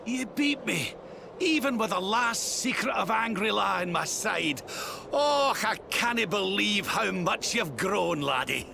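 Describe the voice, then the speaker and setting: An actor playing a spirited, excitable elderly Scottish warrior in a dramatic video game style, with a theatrical delivery. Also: male voice, high voice, a little slow, vocal fry, background noise, heavily acted. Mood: amazed, beaten. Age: older. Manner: theatrical, exclaiming. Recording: loud music behind the voice. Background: loud game music.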